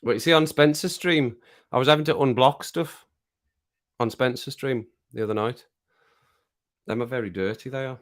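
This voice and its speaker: A man hosting a casual livestream, his voice a bit croaky from singing, chatting to viewers at home and laughing often. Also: medium pitch, normal speed, long pauses. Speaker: livestream host reading chat. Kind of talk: casual chat to a live audience. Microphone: home streaming mic with compressor.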